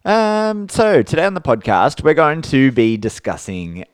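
Hoarse man co-hosting a podcast. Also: fairly high pitch, very animated, a little slow, no room sound, clean studio sound. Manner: chatty, laughs easily. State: hoarse, recovering voice. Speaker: podcast co-host. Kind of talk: casual chat.

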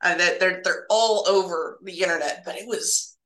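Woman guest, bright voice, casual halting storyteller of eerie hospital tales, echoey room sound. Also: fairly low pitch. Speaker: guest telling stories of working in hospital laboratories.

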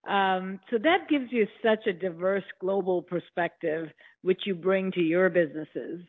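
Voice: mid-range voice, a little slow, husky. An interviewer asking questions and giving frequent encouraging affirmations. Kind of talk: interview conversation. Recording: phone-like narrow band.

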